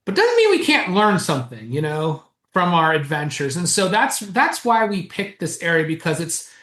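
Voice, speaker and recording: A man, a co-author guest in an interview, who explains at length in an animated way and chuckles often. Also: high voice, moderate pace. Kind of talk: casual interview chat. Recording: roomy echo.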